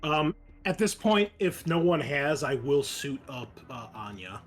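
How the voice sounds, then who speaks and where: A man's voice: on the high side, big pitch swings, a little slow. A man chatting casually as a player in a tabletop roleplaying game.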